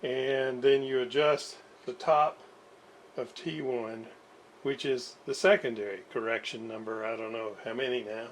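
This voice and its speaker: A man giving casual, unscripted workbench commentary in a halting way, with pauses and self-deprecating asides. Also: medium pitch, fairly even intonation. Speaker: host of radio repair videos. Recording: clear background noise.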